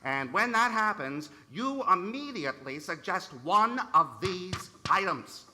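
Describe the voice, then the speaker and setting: An actor playing a fussy, enthusiastic department store manager in a radio play, brisk and officious. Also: male voice, high-pitched, animated, bright, forceful tone, faint music behind, full character voice.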